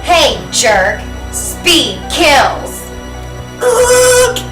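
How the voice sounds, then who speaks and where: Woman playing brash, lively teenage girl in horror. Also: high-pitched, drawn-out words, exaggerated voice. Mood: brash taunting. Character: sharp-tongued teenage girls from a slasher film. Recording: roomy, music underneath.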